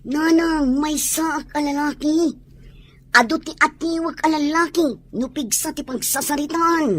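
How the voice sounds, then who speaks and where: A woman's voice: high voice, steady tone, measured pace. A woman with an Ilocano accent playing a defiant young tomboy daughter in a radio drama, protesting emphatically. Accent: Filipino, Ilocano.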